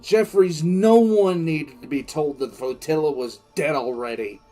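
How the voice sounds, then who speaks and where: A man playing a grim, shaken military commissar who recounts events in a halting, reflective way for an audio drama. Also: on the high side, mellow, faint music behind, natural voice. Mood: tense, haunted. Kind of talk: dramatic first-person audio drama narration.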